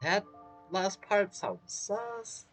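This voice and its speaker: A man's voice: sits high, animated, drawn-out words. A man giving casual, chatty gameplay commentary, reacting informally as he plays. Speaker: game streamer. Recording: game music behind voice.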